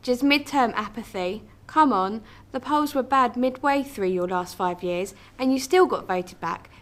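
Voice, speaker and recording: Higher-voiced wife, encouraging, played by an actress. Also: female voice, unhurried, a bit bright. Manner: encouraging, familiar. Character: supportive spouse of a politician, encouraging and brisk. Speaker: actor in a radio drama. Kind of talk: radio drama dialogue.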